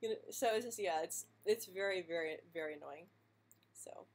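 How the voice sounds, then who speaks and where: Young woman who stutters, lowish soft voice, chatting live on stream, laughs a bit between answers. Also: normal speed. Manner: stutters with frequent sound and word repetitions. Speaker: vlogger answering viewer questions live. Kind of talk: live stream Q&A, casual chat.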